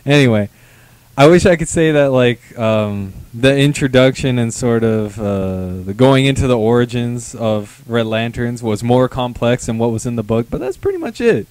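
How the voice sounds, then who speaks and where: A young man trades wry remarks about comics, tossing in quick acted-out asides. His voice sits at a middle pitch, and the sound is dry and close on a handheld mic. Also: expressive, a little mellow, clear, resonant tone, no echo. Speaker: co-host. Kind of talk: casual comic book review chat. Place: indoor studio before a grey backdrop.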